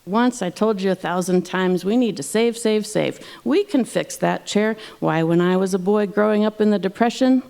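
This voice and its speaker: A woman's voice: medium pitch, moderate pace, gravelly, few pauses, warm tone, natural voice. A woman voicing a frugal, old-fashioned father bear with a folksy, scolding way of talking, in a told fairy tale. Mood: scolding, exasperated.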